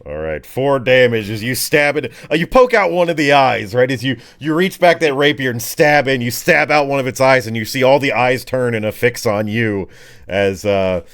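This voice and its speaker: Casual male game master, mid-pitched voice. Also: animated, normal speed, fairly bright tone. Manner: casual slangy descriptions with sound effects. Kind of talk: narrating a tabletop roleplaying game, casual.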